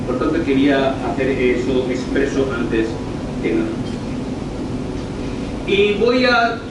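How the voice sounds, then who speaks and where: A middle-aged man with a northern Spanish accent giving an informal university presentation, deliberate, repeating and rephrasing himself. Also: medium pitch, very animated. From northern Spain. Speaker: university lecturer. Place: university lecture room, recorded from afar. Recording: very echoey room, distant mic, clear noise.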